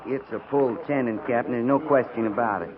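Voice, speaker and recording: A man's voice: medium pitch, fairly quick, background noise, lightly acted. An American man playing a plain-spoken veteran cavalry sergeant in a 1950s radio western, blunt and matter-of-fact. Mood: blunt, certain. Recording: tinny, phone-like 1950s radio recording.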